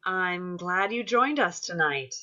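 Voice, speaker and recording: A woman's voice: fairly low pitch, expressive, slow, bright, forceful tone, muffled recording, exaggerated voice. A woman playing an earnest, placating activist group leader in a play reading, hesitant and often trailing off. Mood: awkward, placating.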